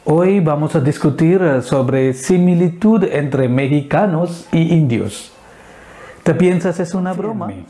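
A man in his thirties from Kerala in India, a native Malayalam speaker with an Indian accent, presenting to camera in a second language with halting, deliberate phrasing. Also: a little high, animated, mellow. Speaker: video host. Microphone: clip-on lapel mic.

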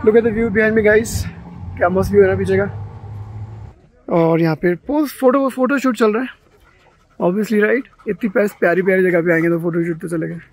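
A man's voice: sits high, normal speed, slight background noise, poor audio. A man in his thirties from India chatting casually to viewers as a travel vlogger, switching between languages mid-sentence. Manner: chatty, conversational, addressing viewers. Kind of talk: casual vlog narration to camera, Hindi mixed with English. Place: outdoors at mountain overlooks and inside a car. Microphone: phone at arm's length.

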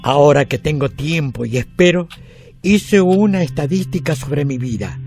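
An actor playing a weary retired man in his eighties with an Argentine accent, reflecting deliberately in an audio drama. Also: a little high, lively intonation, a little mellow. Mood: reflective, resigned. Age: 80s. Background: music.

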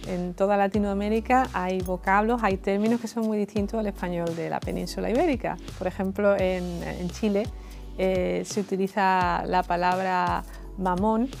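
A woman, explaining technology clearly in an interview. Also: mid-range voice, a little mellow. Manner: articulate, explanatory. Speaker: tech expert. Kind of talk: interview answers.

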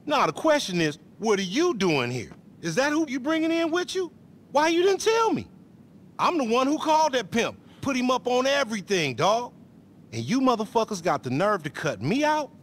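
A man's voice: very high voice, very animated, moderate pace, heavily acted. A man acting a brash, aggrieved small-time hustler in a video game, boastful and profane, with an American accent. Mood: indignant. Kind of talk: video game cutscene dialogue, slangy.

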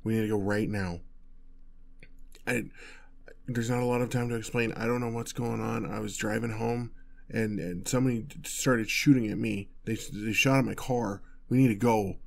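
A man's voice: fairly low pitch, vocal fry, a little mellow. A man playing a protective, anxious boyfriend on the run, stammering and tender, in an intimate audio roleplay. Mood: frantic, urgent. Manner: stammering, urgent, tender reassurance. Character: panicked, protective boyfriend fleeing a gunman with his partner.

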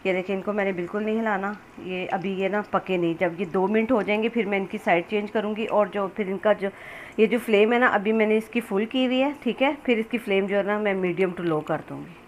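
A woman's voice: mid-range voice, fairly quick. Woman narrating a recipe over background noise. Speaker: home cook hosting a cooking channel. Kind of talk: casual step-by-step recipe narration. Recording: clear background noise, kitchen ambience.

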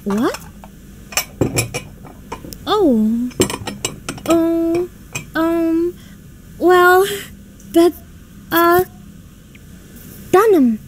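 A woman playing a flustered, excitable young society daughter in a radio drama, exclaiming and stammering dramatically. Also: very high voice, slight background noise, exaggerated voice. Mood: flustered, polite. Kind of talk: stage-like radio drama acting.

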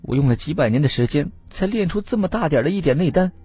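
A man's voice: a little high, animated, fast, breathy edge, no echo, lo-fi sound, voice pushed up. A man voicing a frightened male fox spirit in Taoist form, pleading and panicky in an audiobook. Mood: begging. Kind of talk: audiobook character acting.

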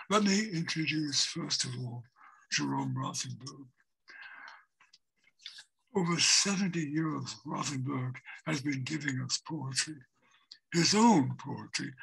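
An older American man from a home video call, introducing poets in a slow, reflective, lyrical way. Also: on the high side, creaky, sharp tone, husky, rough recording. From United States. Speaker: poetry reading curator. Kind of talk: measured spoken introduction. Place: home study with bookshelves and window.

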